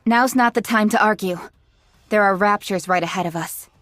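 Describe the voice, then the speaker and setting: A woman's voice: mid-range voice, normal speed, a bit bright, no echo, faint music behind, close to an everyday voice. A woman playing a businesslike young female android squad leader in a video game, dutiful and curt, speaking formally and with composure. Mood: firm. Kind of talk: English video game voice acting.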